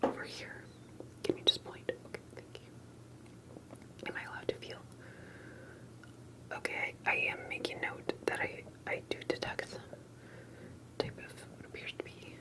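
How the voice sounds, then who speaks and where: A woman whispers throughout in a soft, breathy, unvoiced tone. She plays an interviewer in an ASMR roleplay, posing her questions carefully and deliberately. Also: poor audio.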